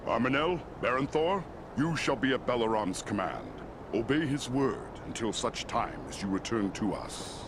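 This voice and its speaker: An actor playing a noble, commanding giant eagle lord in a fantasy game, speaking formally and gravely. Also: male voice, mid-range voice, big pitch swings, normal speed, background noise. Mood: solemn, commanding. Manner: formal, stately, solemn. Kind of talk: fantasy game cutscene acting.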